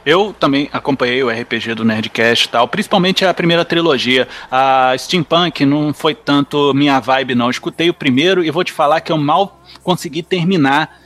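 A man's voice: on the high side, moderate pace, hardly any pauses, a bit bright. A man with a Brazilian accent in casual podcast chat, talking in an informal, enthusiastic way. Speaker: podcast panelist.

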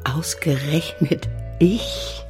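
A woman's voice: sits low, drawn-out words, music in the background. An actress playing a reluctant older single woman, muttering hesitantly to herself in a naturalistic radio drama. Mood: doubtful, flustered. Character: reluctant older single woman doubting herself before senior speed dating.

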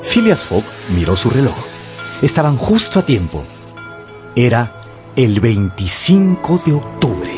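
Expressive male radio drama narrator. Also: medium pitch. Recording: music behind the voice.

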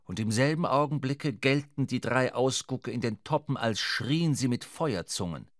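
A man narrating a literary adventure for radio, with measured, suspenseful dramatic delivery. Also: medium pitch, expressive, no room sound, clean studio sound, natural voice.

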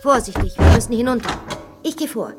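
A woman's voice: fairly high pitch, expressive, heavy background noise, lightly acted. Sober widow played by a woman, echoing space. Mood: sober, matter-of-fact. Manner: measured, sober, guiding. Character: composed, matter-of-fact widow of an old manor. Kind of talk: German radio drama acting. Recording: echoey reverberant vault.

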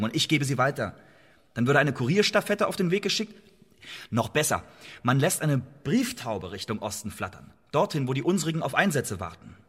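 A man acting in a radio play as an articulate, loyal imprisoned English agent and playwright, speaking in measured, formal, persuasive phrases. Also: mid-range voice, a bit bright, slightly rough. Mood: animated.

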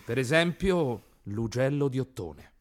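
A man's voice: medium pitch, animated, measured pace, natural voice. An actor playing a deferential, evasive factory manager in a comic radio play, a man who delivers bad news. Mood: meek, hesitant. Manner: deferential, hesitant, then reeling off lists emphatically.

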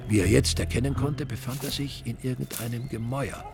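A man's voice: medium pitch, steady tone, brisk, creaky. A man narrating a scripted crime story in a dry, wry storytelling manner. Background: music under the narration.

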